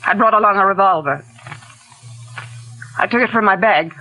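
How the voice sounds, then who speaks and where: Woman acting an anguished society lady, bright radio voice. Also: mid-range voice, normal speed, close to an everyday voice, low-quality recording. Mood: desperate, reproachful. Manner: theatrical, intense, earnest delivery. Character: anguished, sophisticated society mother confessing to a shooting. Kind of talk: 1940s radio drama acting. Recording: old 1940s radio recording.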